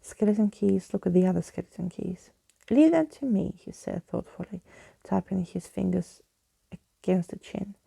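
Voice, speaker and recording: Soft, breathy woman reading a bedtime story. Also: lowish voice, flat delivery, moderate pace, dry recording. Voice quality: soft, breathy, partly whispered. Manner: gentle, hushed storytelling. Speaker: ASMR reader, narrator.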